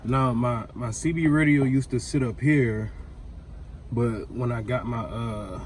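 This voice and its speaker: A man's voice: medium pitch, a little slow, a little mellow. An American man with an informal, slangy way of talking, chatting casually as he demonstrates a hands-on job for a vlog. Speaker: vlogger demonstrating a DIY car install. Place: in or around a vehicle. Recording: clear background noise, vehicle ambience.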